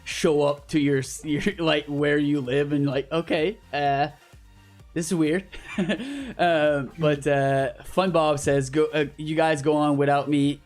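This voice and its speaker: A man's voice: fairly high pitch, steady tone, slow, a little mellow. A man from Canada hosting a gaming livestream, chatting casually in a rambling, jokey way. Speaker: livestream host. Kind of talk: casual gaming stream chat. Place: at home in an apartment, live voice chat. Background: background music.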